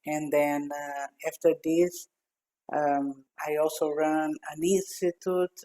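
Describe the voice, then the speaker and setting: A woman in her sixties from Brazil with a Brazilian accent, speaking thoughtfully with pauses as a podcast guest. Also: sits low, steady tone, mellow. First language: Portuguese. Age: 60s. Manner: slow, thoughtful speech with pauses and fillers. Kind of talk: podcast interview. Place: home office with glass wall, remote.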